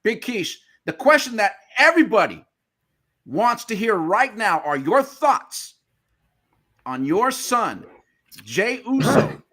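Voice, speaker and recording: A man's voice: sits high, sing-song, slow pace, pushed and bright. A man co-hosting a podcast, introducing the guest with enthusiastic hype-style intros and stumbling over some words. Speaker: podcast co-host.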